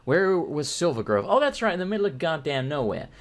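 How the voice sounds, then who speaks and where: A man's voice: fairly high pitch, fairly quick. A British man hosting a gameplay video, joking, swearing and telling rambling stories as he plays. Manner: profane, joking, animated storytelling. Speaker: gaming video host. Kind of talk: let's play commentary, rambling anecdotes.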